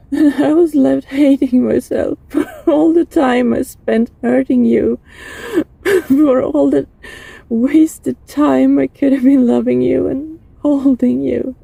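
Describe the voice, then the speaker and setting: A woman playing a lovesick, desperately devoted former bully from the future in an intimate audio roleplay, speaking haltingly and emotionally in a soft, husky voice. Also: high voice, no echo, heavily acted. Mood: grieving, tearful. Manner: halting, emotional, breathy sighs and stammers.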